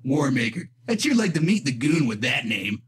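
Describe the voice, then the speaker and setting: Hammy, swooping masked trickster. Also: male voice, mid-range voice, sing-song, moderate pace, breathy edge. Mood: cocky. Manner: theatrical, mocking, hammy. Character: cocky green-headed masked trickster, wisecracking loudmouth. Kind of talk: comic-book dub voice acting. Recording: voice under loud music. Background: loud music.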